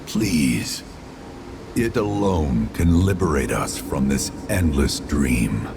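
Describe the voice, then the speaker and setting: An actor playing an ancient, sorrowful spirit in a video game, speaking in solemn, archaic, grandiose phrases. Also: male voice, a bass voice, big pitch swings, slow, creaky, noisy background, heavily acted. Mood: aggrieved, pleading. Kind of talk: formal archaic dramatic game acting.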